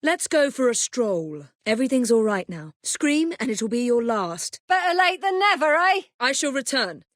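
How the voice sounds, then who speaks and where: A young Victorian English assassin from a video game, confident and commanding. Her voice sits high and moves with lively, swooping intonation. The delivery is brisk, dryly witty and well-mannered, recorded close and dry. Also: dry recording. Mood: cool, controlled. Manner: crisp, commanding, wry, polite.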